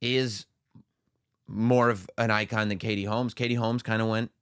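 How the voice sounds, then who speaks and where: A man's voice: on the low side, level tone, normal speed, fairly bright tone, clear, resonant tone, no room sound, studio quality. An American man in his forties co-hosting a podcast with casual, opinionated banter, speaking emphatically and repeating words for effect. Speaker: podcast co-host.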